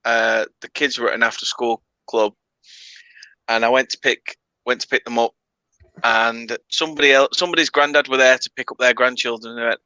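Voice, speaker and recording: A northern English man in his late twenties hosts a relaxed video call, bantering and laughing at himself. His voice is bright and forceful, and the sound is close and dry with no room echo. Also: medium pitch, a little fast, clean tone. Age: late 20s. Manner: laid-back, self-mocking banter. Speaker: host. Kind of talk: casual chat on a video call.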